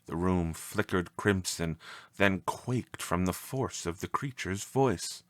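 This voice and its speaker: A man reading a scripted story as narrator, with an expressive, measured and dramatic delivery. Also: low voice, dry recording, studio quality.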